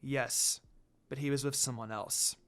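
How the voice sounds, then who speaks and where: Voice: medium pitch, steady tone, unhurried, a bit bright, pitched below the performer's own voice. A reader voicing a brave, snappy young woman in an amateur story reading. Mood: uneasy.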